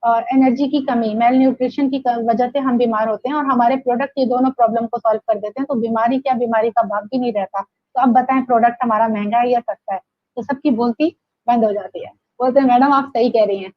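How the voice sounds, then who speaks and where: A woman's voice: fairly high pitch, fairly even intonation, fairly quick, no room sound, dull audio. A Pakistani woman speaking as an interview guest in an enthusiastic, motivational testimonial style, emphatic and repeating phrases for effect. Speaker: interview guest, direct-sales leader.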